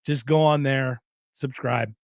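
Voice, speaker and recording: A man's voice: mid-range voice, fairly even intonation, measured pace, dry recording, lo-fi sound. A man hosting a podcast in casual chat, rambling with many restarts and self-interruptions. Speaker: podcast host.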